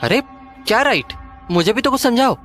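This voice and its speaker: An actor playing an excitable, easily confused young sidekick who banters colloquially in a horror story. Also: male voice, very high voice, fairly quick, full character voice. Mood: curious, excitable. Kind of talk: animated horror story dialogue. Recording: faint music behind the voice.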